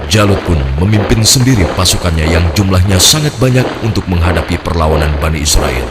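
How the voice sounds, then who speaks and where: A man's voice: sits low, lively intonation, a little fast, heavy background noise. A man narrating a scripted religious story for a cartoon.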